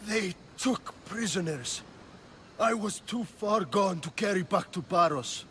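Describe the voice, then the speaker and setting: A wounded soldier, terse, weary, bright voice. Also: male voice, high voice, lively intonation, a little slow, slight background noise, full character voice. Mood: grim. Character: wounded grim soldier, sole survivor. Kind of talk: video game cutscene acting.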